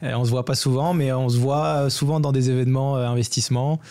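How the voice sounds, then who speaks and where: Podcast host: man, mid-pitched, soft warm tone, casual interviewing. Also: steady tone, fast.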